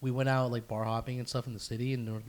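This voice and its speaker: A man's voice: mid-range voice, little pitch movement, quick pace. A young man hosting a casual chat, speaking informally and often repeating his phrases. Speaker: podcast host.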